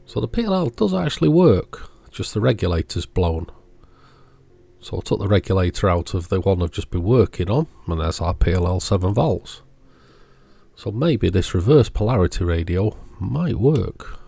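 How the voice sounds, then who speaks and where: A man's voice: deep voice, lively intonation, moderate pace, vocal fry, warm tone, husky. A man hosting an electronics repair channel, giving casual, matter-of-fact narration of the job as he goes.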